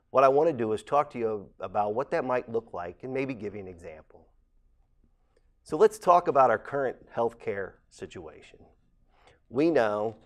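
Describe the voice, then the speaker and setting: A man speaks in a somewhat low voice. He talks in a measured, earnest, formal way. He is a local election candidate making his case to viewers. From Ohio, USA. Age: late 40s. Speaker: council president candidate. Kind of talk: campaign statement to camera.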